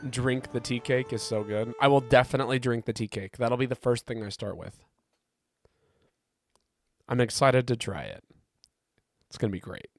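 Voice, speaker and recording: Gravelly, sick-sounding male streamer. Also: normal speed, pauses often, soft background music. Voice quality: gravelly and deep. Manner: rambling, reads chat aloud and answers it. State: sick, congested and hoarse. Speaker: game streamer. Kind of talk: casual live-stream chat while gaming.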